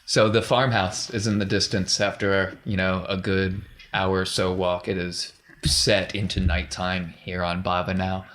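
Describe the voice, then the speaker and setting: A man's voice: sits low, level tone, unhurried, fairly bright tone. A man narrating a tabletop roleplaying game as game master, in a casual, descriptive way.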